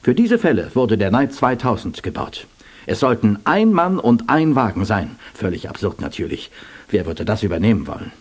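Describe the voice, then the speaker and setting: Formal reluctant administrator, older gentleman, precise, pompous, swooping intonation, husky, radio play. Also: on the low side, big pitch swings, moderate pace. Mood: reluctant, conceding.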